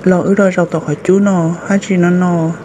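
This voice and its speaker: A man voicing an excitable adult man in a folk-tale cartoon, exclaiming often. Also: sits high, quick pace, slight background noise, close to an everyday voice. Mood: lively. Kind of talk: cartoon voice acting. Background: music.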